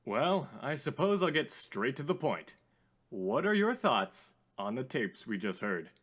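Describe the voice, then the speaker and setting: A man playing a courteous, theatrical radio call-in host with formal, drawn-out greetings in a radio drama. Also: mid-range voice, big pitch swings, a bit bright. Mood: thoughtful.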